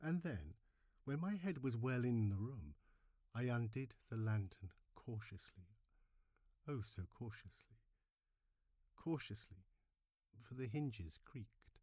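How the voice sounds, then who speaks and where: A man playing an agitated, murderous madman who insists he is sane, in a theatrical, emphatic reading of a horror story with hushed drops and sudden swells. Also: mid-range voice, lively intonation, slow, long pauses, husky, dry recording, clean studio sound. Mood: furtive, gloating.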